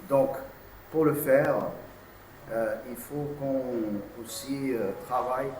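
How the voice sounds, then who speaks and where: A man whose first language is English, answering press questions haltingly with many hesitations. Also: medium pitch, a little mellow. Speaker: insurance company executive. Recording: distant mic in an echoey room with noise.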